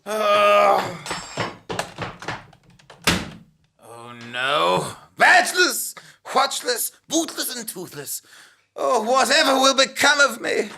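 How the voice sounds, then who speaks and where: A man's voice: high-pitched, very animated, takes it slowly, gravelly, pushed and bright, a little hiss or hum, exaggerated voice. A man playing a melodramatic, lovelorn, disgraced male clerk in an audio comedy drama, theatrical and grandiose. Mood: wailing despair. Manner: theatrical, grandiose, swooping between murmurs and cries.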